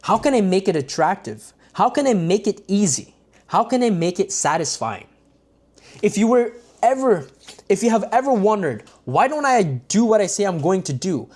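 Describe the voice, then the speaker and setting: A man's voice: high-pitched, darkish tone. Man reading a book aloud on stream. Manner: unpolished reading with stumbles and restarts, casual asides to viewers. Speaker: livestreamer.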